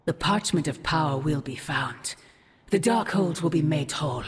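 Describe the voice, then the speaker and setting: A woman's voice: low-pitched, lively intonation, moderate pace. A woman playing an imperious, scornful sorceress mother in a video game, speaking in grave, archaic, theatrical phrases. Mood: fervent, commanding. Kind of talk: dramatic video game acting. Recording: long cavernous reverb over music.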